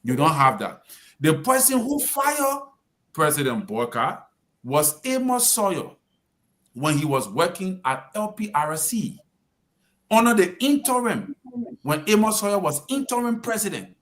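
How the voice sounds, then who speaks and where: A Liberian man with a voice a bit higher than most gives political commentary on the radio. He lectures emphatically, pressing each point home in a Liberian English accent. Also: sing-song, a little slow, fairly bright tone. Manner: lecturing, emphatic, addresses the president directly. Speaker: radio talk show panelist.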